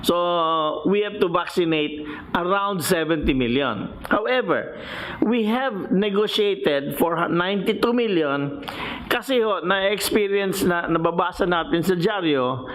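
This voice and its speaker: A man's voice: high voice, expressive, unhurried, a bit bright, rough recording. A man with a Filipino accent giving an explanatory official report as a government secretary, methodically going point by point. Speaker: finance secretary presenting.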